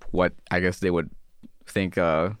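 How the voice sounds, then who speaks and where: A man's voice: sits low, little pitch movement, measured pace, clean tone, dry recording. A man co-hosting a casual podcast chat, rambling with many restarts and fillers. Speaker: podcast co-host.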